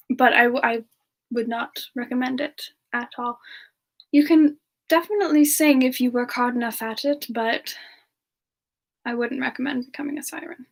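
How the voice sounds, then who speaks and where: A woman voicing a gentle frost siren in a semi-ASMR character Q&A, speaking softly and haltingly, with whispery dips and playful candor. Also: a little high. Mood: hesitant, cautioning. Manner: soft, hesitant, halting delivery with whispery dips. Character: gentle female frost-covered siren, modest and playfully candid.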